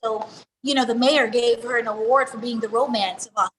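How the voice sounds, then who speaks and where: A high-pitched woman chats easily about home and family, slipping in slang and quick laughs. She grew up in Houston after being born in New Jersey. A faint noise floor sits under the recording. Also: steady tone, a bit bright, low-quality recording. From New Jersey, raised in Houston. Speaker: R&B singer, guest. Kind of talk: casual interview chat.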